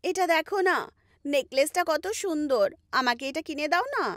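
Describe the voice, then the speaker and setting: A woman's voice: sits high, moderate pace, dry recording. A woman voicing a sulky, manipulative young wife with a whiny, wheedling delivery in a cartoon story. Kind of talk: animated story dubbing. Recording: loud music bed under voice.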